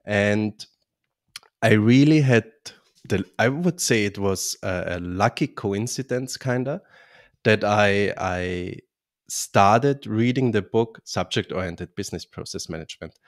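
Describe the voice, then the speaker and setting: Casting: Austrian man, lowish voice, guest on a podcast, halting with restarts. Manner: halting, many restarts and fillers. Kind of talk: podcast interview.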